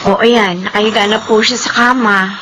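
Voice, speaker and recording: A woman's voice: mid-range voice, steady tone, normal speed, natural voice, low-quality recording. An actress playing a caring, fussing young woman, a loyal friend, in a Filipino radio drama. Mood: polite, apologetic.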